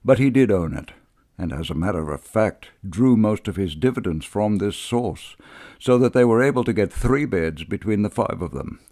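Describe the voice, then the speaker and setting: A man reading literary prose and verse as an audiobook narrator, in a measured, expressive storytelling way. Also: fairly low pitch, dry recording, clean studio sound.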